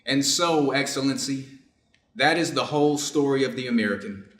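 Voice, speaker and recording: A man playing a conflicted, dutiful surgeon in a reader's theater piece, speaking in a measured, formal, earnest way. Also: medium pitch, a bit bright, close to an everyday voice. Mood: grave, deferential. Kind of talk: reader's theater stage reading. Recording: echoey room.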